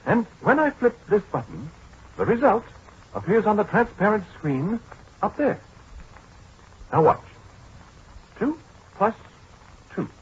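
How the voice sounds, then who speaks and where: An actor playing a pompous, pedantic scientist who is easily flustered, in a 1940s radio drama. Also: male voice, high voice, very animated, slow, pauses often, a little hiss or hum, full character voice. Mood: pompous, lecturing. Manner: pedantic, precise, lecturing. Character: pompous, flustered male scientist demonstrating a calculating machine. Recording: muffled, husky-sounding 1940s radio recording.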